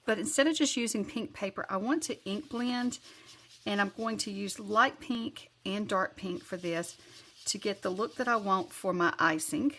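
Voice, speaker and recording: A woman's voice: medium pitch, moderate pace, a bit bright, slight background noise. A woman with a Southern accent hosting a card-making channel, talking through a craft tutorial in a chatty, rambling way and correcting herself as she goes.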